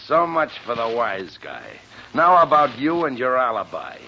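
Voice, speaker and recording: A male actor playing a big, jealous, hot-headed tough in a 1940s radio drama, blunt and menacing with rough grammar. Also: medium pitch, sing-song, a little slow. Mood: threatening. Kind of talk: 1940s radio gangster acting. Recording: muffled, faintly noisy 1940s radio recording.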